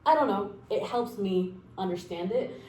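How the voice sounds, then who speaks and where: A young woman talks through a language lesson in a loose, chatty, encouraging way, full of fillers and little asides. Her voice sits at an ordinary middle pitch. The room is echoey, with faint music underneath. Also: lively intonation, normal speed, dark tone. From Mexico. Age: 20s. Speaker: language teacher. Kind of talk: casual spoken lesson. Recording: roomy echo with faint music.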